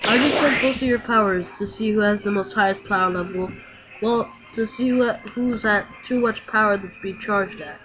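A woman improvising roleplay dialogue and narration for a Minecraft video in a halting way. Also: mid-range voice, rough recording.

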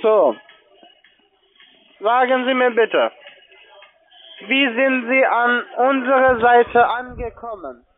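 A man with a very high voice speaks non-native German with a foreign accent over a narrow, tinny phone line. He works through a pushy sales call, putting questions to the customer. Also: slow, long pauses, faint music behind. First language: not German. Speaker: call-centre agent selling online trading.

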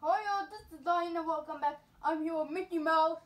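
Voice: very high-pitched, normal speed, a bit bright. A young vlog host speaking in a playful, scripted skit style. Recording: noisy home camera audio.

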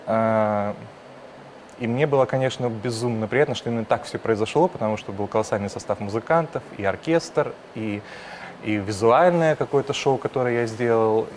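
A man in his thirties from Ukraine, a singer answering interview questions in a hesitant way, with pauses and restarts. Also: medium pitch, slight background noise. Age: 30s. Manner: hesitant, soft-spoken, many pauses and restarts. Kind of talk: casual interview talk.

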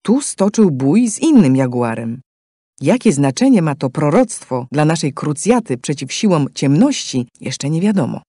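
A man's voice: a little high, big pitch swings, normal speed, warm tone, dry recording, clean studio sound. A man giving scripted, dramatic narration for a game story in a solemn, declamatory manner.

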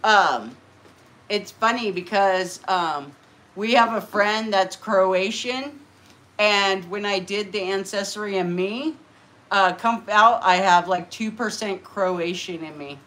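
A woman's voice: mid-range voice, takes it slowly, fairly bright tone. A middle-aged woman hosting a casual livestream from her living room, chatty and rambling, often laughing. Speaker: livestream host. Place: living room, green party decorations.

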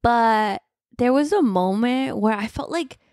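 A woman's voice: fairly high pitch, expressive, measured pace, a little mellow, no echo, studio quality. A woman co-hosting a podcast, talking casually about books and retelling scenes in an informal, playful way. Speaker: podcast co-host.

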